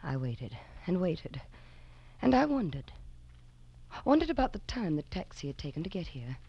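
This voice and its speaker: A woman's voice: on the low side, sing-song, normal speed, breathy edge, lo-fi sound, exaggerated voice. Anxious young woman in radio drama. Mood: tense narration. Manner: dramatic, urgent, pleading. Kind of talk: 1940s radio drama, first-person narration and dialogue. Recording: old 1940s radio broadcast with music.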